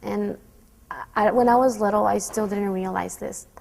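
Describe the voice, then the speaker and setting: An earnest young woman from Honduras talks reflectively as a student. Her voice has a gravelly fry and an even, level delivery. A long reverb hangs on the recording. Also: medium pitch, normal speed. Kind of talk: personal testimony.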